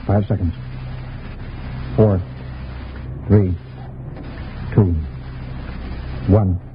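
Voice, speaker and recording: An actor playing a commanding, rational spaceship captain in old-time radio science fiction, speaking in clipped military phrases. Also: male voice, deep voice, steady tone, drawn-out words. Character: commanding male spaceship captain, stern, rational, increasingly bewildered. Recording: muffled, noisy old radio recording.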